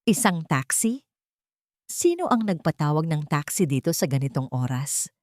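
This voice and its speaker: A woman's voice: low-pitched, very animated, moderate pace, a little mellow, no room sound, studio quality. A woman voicing a haughty, irritated mother-in-law from a rich family in a narrated drama, scornful and demanding.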